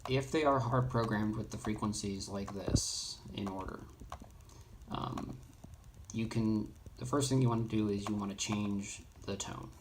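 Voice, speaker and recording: A man giving an unscripted how-to tutorial in a halting way, thinking aloud as he explains each step. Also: fairly low pitch, steady tone. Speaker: instructor in a radio how-to tutorial. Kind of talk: unscripted screen-demo tutorial. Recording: noisy, keyboard and mouse clicks. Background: noise, typing and clicking.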